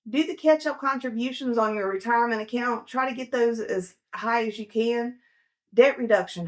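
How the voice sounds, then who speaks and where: A woman in her forties giving casual, down-to-earth money advice, chatty and full of fillers. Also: fairly high pitch. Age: 40s. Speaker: personal finance host. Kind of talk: casual advice talk to camera.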